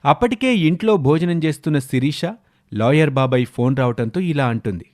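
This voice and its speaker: A man's voice: a little high, expressive, fairly quick, darkish tone. A man narrating a scripted family drama story, linking the characters' dialogue.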